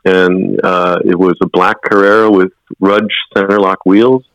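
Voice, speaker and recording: A man of sixty reminisces as an interview guest, telling anecdotes in an unforced conversational style. His voice sits low and has a gravelly fry. The sound is dry and a little dull, like radio. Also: flat delivery, measured pace, no room sound. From born in United States, moved to Germany as a child.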